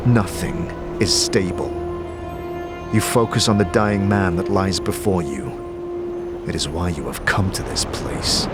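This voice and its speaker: Slow, low-pitched male fantasy narrator. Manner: measured, solemn storytelling. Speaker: narrator of an audio drama. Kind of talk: second-person dramatic fantasy narration.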